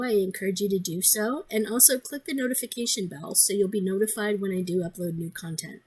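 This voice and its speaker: A woman with a hoarse, congested voice, chatting casually in a crafting vlog, rambling with many ums and restarts. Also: mid-range voice, low-quality recording. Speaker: crafting vlogger.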